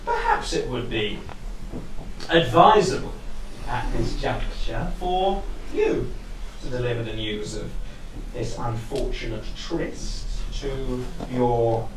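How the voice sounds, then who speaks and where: Man playing slow, smooth-talking young rake, echoey. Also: mid-range voice. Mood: flattering. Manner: mannered, flattering, florid. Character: smooth-talking young rake, bed-hopping gentleman, flattering and evasive. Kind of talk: theatrical period comedy acting. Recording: echoey room, distant mic, clear noise.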